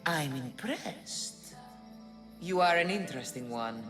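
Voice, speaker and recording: A guardian of hidden knowledge in a game, speaking in riddles. Her voice sits very low and moves slowly, with lively melodic swings. Game music plays loudly behind her. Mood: enigmatic. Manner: slow, measured, riddling. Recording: game cutscene with loud music.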